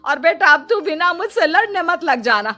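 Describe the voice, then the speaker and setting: Quarrelsome older cartoon mother, played by a woman: bright, forceful, self-pitying scold. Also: very high voice, animated, normal speed, faint music behind, full character voice. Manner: scolding, melodramatic. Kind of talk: Hindi cartoon dialogue.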